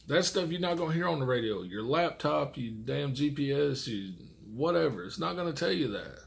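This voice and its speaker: Gravelly middle-aged trucker, folksy. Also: male voice, a little high, animated, normal speed. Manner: folksy, rambling, mild swearing. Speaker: truck driver and vlogger. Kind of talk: casual chat to camera.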